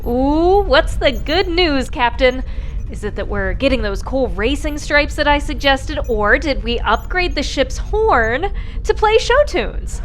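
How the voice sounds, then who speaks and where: A woman's voice: high voice, expressive, a little slow, a little hiss or hum. A woman playing an eager, flighty, chirpy spaceship pilot in a sci-fi comedy audio drama. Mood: excited. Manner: chirpy, enthusiastic.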